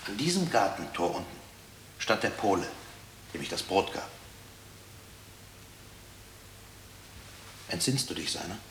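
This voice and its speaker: A deep, grave former prisoner recalls wartime in a measured, reflective manner. It is radio play acting, with light tape hiss over the recording. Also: male voice, sing-song, vocal fry, fairly bright tone, heavily acted. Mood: quiet, matter-of-fact. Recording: hissy old radio drama tape.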